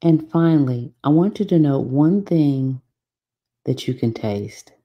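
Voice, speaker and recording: A woman leading a guided meditation from a script, giving soothing, unhurried instructions with long pauses. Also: low-pitched, expressive, muffled recording.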